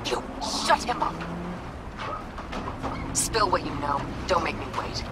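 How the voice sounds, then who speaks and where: A woman's voice: low-pitched, animated, takes it slowly, very noisy. A woman acting as a hard, hot-tempered corporate operative in a video game, curt, commanding and hostile. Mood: curt, suspicious.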